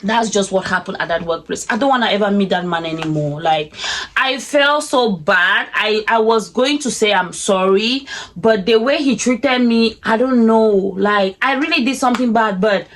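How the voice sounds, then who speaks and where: A young Cameroonian woman telling a story in a casual, animated way, laughing often and acting out what people said. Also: medium pitch, normal speed, slight background noise. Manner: animated, laughs often, acts out quoted speech.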